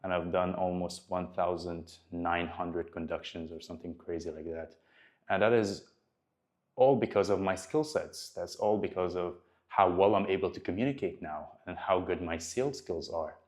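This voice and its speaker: A man's voice: low-pitched, moderate pace, a little mellow. A man in his twenties, a personal trainer, talking to the camera about his progress and his goals in an earnest, motivational way, often restarting his sentences. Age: 20s.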